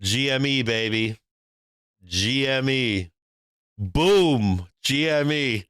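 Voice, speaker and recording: A man's voice: medium pitch, animated, very slow, fairly bright tone, clear, resonant tone, dry recording, studio quality. A man from Boston hosting a live stock trading stream, brash and joking, laughing often between calls. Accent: faint Boston. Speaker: stock market livestream host.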